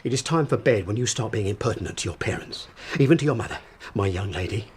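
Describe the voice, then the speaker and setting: A man's voice: on the low side, animated, fast talker, a little hiss or hum, natural voice. A man acting a querulous, pompous father fretting over money, spluttering with indignation, in a period British radio drama. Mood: indignant. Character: fretful, indignant suburban father drowning in debt.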